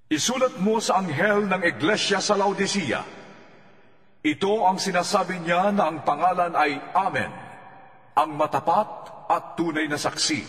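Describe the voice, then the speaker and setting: A Filipino man playing a solemn, commanding divine lord in a scripture audio drama, speaking in a measured, declamatory way. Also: high voice. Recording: echoey long reverb under loud music.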